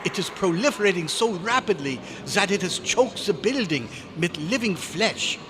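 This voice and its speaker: Man playing a high-pitched, frantic radio-drama scientist. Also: expressive, normal speed. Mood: urgent pleading. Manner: urgent, pleading, exclamatory, formal diction. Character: frantic, desperate male scientist warning of impending doom. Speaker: club member performing a radio play recreation. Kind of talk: old-time radio drama acting. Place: hotel convention meeting room, live performance. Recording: noisy live convention room recording.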